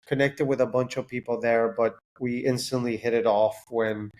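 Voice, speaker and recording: A man's voice: medium pitch, level tone, moderate pace, darkish tone, low-quality recording. A man, a podcast guest talking casually in long loose runs, often restarting himself and filling with "like". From Puerto Rico. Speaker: podcast guest, startup founder. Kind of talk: casual interview chat.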